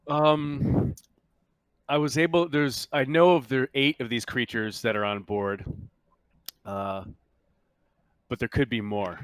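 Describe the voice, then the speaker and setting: A man acting as game master in a tabletop roleplaying game, speaking haltingly in character. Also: on the low side, a bit bright, clear, resonant tone, dry recording.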